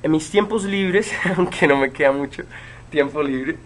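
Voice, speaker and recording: A man in his twenties, from Chile, casually answering fan questions on a livestream from a room at home, relaxed, with many fillers and pauses. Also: a little high. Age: 20s. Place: home room with bookshelves, indoors. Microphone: webcam.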